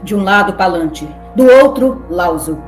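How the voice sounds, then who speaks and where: A woman narrates in a theatrical, playful way with wry asides. Her voice is mid-pitched, in an echoey space with clear music behind. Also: lively intonation, measured pace.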